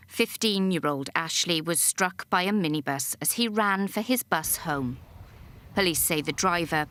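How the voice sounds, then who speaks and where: A woman narrates a scripted news report as a reporter. Her voice is fairly low for a woman, and there is faint noise behind it. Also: a bit bright.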